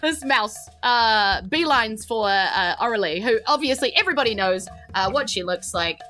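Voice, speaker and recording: A woman running a tabletop role-playing game, narrating casually and teasing the players in an animated, laughing way. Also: a little high, normal speed, faint music behind. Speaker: game master of a tabletop RPG podcast.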